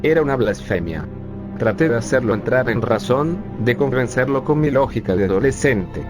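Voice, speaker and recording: A man's voice: medium pitch, expressive, normal speed, close to an everyday voice. A synthetic male voice voicing a professor who bitterly recalls his days as a young refugee. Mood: defiant. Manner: bitter, sarcastic storytelling. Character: urban planning professor recalling his youth. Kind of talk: scripted narration. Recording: music bed underneath.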